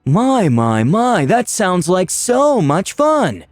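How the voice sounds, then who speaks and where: A man's voice: high voice, wildly swooping pitch, takes it slowly, no echo, soft background music, voice pushed up, full character voice. A man voicing an unhinged, gleeful necromancer master in a narrated fantasy story, flippant and menacing, with a theatrical, toying delivery. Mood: unhinged delight.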